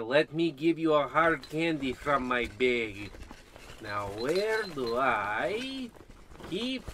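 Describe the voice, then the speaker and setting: A man's voice: mid-range voice, bright, forceful tone, a little hiss or hum, heavily acted. A man playing a muddled, theatrical old woman, a rambling former teacher, in a parody of old radio drama.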